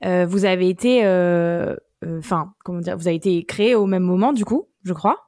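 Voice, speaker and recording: A woman's voice: on the low side, normal speed, mellow, no echo, clean studio sound. A woman co-hosting a casual podcast, asking questions hesitantly as she searches for words. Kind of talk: casual chat.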